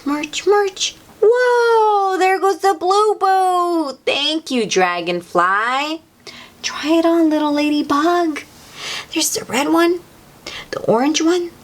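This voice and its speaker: A woman hosts a read-aloud for small children. Her delivery is very slow, with a wide, lively swing in pitch. She speaks in a sing-song, encouraging way, as if talking straight to young listeners.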